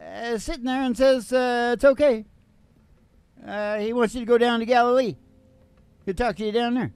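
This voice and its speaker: A man's voice: very high voice, fairly even intonation, normal speed, darkish tone, clear, resonant tone, no room sound. A man telling a story casually and comically as a show co-host, in a rambling, irreverent, jokey way. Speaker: singing DJ and co-host of a country comedy show.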